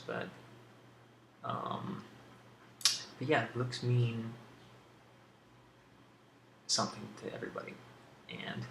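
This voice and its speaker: A man hosting a casual ASMR question-and-answer video, speaking in a halting, rambling, hesitant way on an amateur home recording. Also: lowish voice, creaky, echoey room, noisy background. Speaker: video host.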